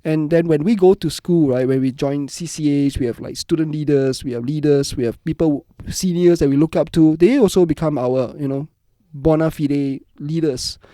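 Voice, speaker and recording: A man's voice: fairly high pitch, moderate pace, dark tone, dry recording. A man with a Singaporean accent hosting a podcast in a reflective, conversational interview style. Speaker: podcast host.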